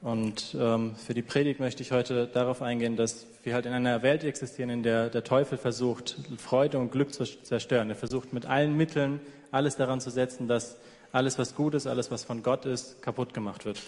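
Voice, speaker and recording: A man's voice: mid-range voice, steady tone, brisk, darkish tone. A man working as a live interpreter, relaying a sermon passage by passage in a church. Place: large echoing church hall. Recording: long hall reverb.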